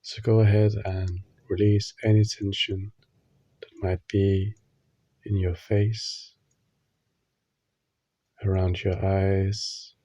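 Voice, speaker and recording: A man leading a guided meditation, giving gentle instructions in short phrases with long pauses. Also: low voice, flat delivery, no echo, highs cut off.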